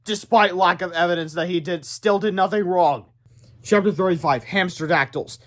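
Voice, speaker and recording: Higher-voiced man reading a storybook aloud. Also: normal speed. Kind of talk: children's book read-aloud with casual asides.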